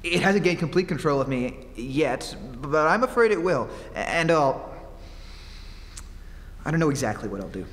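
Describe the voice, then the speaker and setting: A man's voice: a little high, sing-song, a bit bright. Guy doing a haunted unraveling pianist, old radio horror style, melodramatic and all over the place, big echoey hall. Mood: haunted, confessional. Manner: overwrought, halting, melodramatic. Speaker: amateur stage actor. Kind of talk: old-time radio horror play re-enactment. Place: live stage performance in a reverberant hall. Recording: noisy live theatre hall, long reverb.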